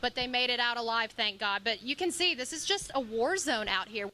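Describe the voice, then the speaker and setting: A woman's voice: fairly high pitch, brisk, pushed and bright. A woman giving an eyewitness news report outdoors in the field. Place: outdoors at a disaster scene. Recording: faint outdoor location noise.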